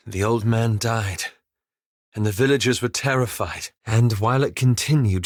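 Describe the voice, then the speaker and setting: Measured, weary male elf adventurer played by an actor, dry recording. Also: fairly low pitch, no room sound, lightly acted. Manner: measured, formal, articulate, hesitant. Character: weary, guilt-ridden male elf adventurer, reserved and conscientious. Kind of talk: video game character dialogue.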